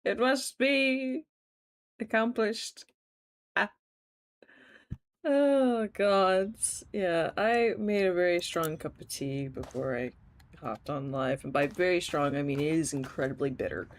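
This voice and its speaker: A woman streaming a game, chatting casually with her viewers and laughing often, her voice rough and tired from just waking up. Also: fairly low pitch, takes it slowly, dry recording. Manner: chatty, giggly, talks to chat while playing. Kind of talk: casual gaming livestream chat.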